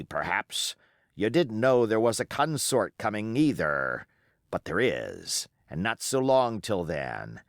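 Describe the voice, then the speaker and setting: Man acting a scornful pirate ringleader, swooping pitch. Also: no echo, studio quality, heavily acted. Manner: emphatic, taunting, rhetorical questions. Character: Long John Silver, scornful cunning male pirate ringleader.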